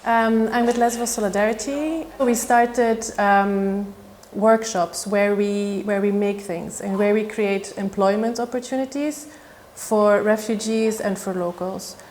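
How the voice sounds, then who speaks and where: A woman from Belgium, an aid worker, speaking in a documentary interview with frequent pauses and fillers. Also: medium pitch, steady tone, a little mellow, a little hiss or hum.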